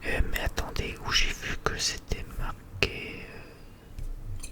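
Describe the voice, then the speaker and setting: An ASMR creator chatting casually in a whisper, rambling hesitantly and often repeating words. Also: slow.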